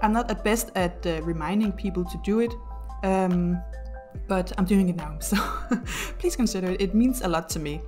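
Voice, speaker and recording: A Danish woman with a Danish accent, talking through her build in a casual voice-over at home, halting with many ums and self-deprecating laughs. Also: on the low side, mellow, faint music behind. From Denmark. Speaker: YouTuber narrating a build video.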